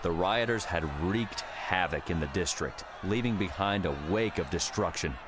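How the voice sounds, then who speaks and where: A man's voice: low voice, animated, moderate pace, crowd noise, noisy background. A man reading dramatic fiction as a scripted audiobook narration.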